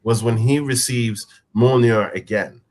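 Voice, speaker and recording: A man on a film review panel debating casually and insistently, halting and repeating his phrases as he makes his point. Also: medium pitch, fairly even intonation.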